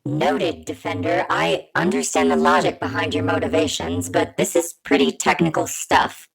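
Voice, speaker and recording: A woman playing a cautious holographic computer entity in an audio drama, formal, measured and wary. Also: low voice, big pitch swings, creaky, full character voice, poor audio.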